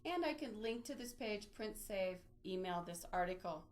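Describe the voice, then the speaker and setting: A woman with a mid-pitched voice leads an online training session. She talks through each step in an informal, explanatory way, as a presenter demonstrating a resource on screen. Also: animated, normal speed. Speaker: librarian presenting a database tutorial. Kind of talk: instructional screen-share webinar walkthrough.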